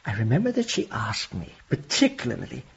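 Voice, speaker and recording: An actor playing a reflective, gently probing prison chaplain with a husky, gravelly voice in a radio drama. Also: male voice, medium pitch, huge pitch swings, fairly quick, dull audio, exaggerated voice. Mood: reflective, earnest.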